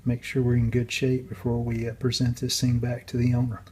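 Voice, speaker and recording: A man's voice: medium pitch, monotone, fairly quick, noisy background. A man giving a casual, unscripted workbench walkthrough in a hesitant, matter-of-fact way. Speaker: radio restorer and video host.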